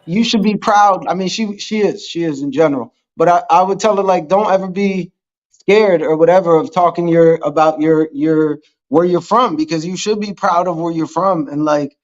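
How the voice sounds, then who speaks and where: Earnest high-voiced man, native Spanish speaker. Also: normal speed, lo-fi sound. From Dominican Republic. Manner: earnest, rambling, agreeable. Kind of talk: casual live-stream chat.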